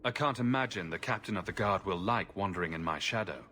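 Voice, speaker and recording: A man's voice: sits low, quick pace, pushed and bright, slight background noise. A man playing a composed, loyal adventurer hero in a fantasy game, speaking sincerely and plainly. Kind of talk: dramatic game cutscene acting.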